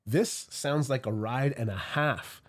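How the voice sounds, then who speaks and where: A man's voice: mid-range voice, takes it slowly. Congested man chatting on a cigar stream. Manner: chatty, rambling with restarts. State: congested from a cold. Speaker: cigar review host. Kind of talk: casual livestream chat.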